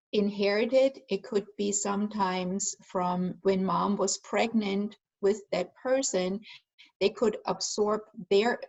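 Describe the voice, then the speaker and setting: A woman's voice: mid-range voice, poor audio. A woman from Germany, earnestly explaining her healing work as an interview guest, with frequent fillers. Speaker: guest, energy healer and aromatherapist. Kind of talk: conversational interview answers.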